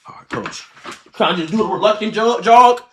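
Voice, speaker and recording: High-voiced, fast-talking young male streamer. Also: slightly rough. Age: 20s. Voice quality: slangy, swearing speech. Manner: animated, repetitive retelling with acted-out quotes. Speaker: livestreamer. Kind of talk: casual reaction-stream storytelling to chat.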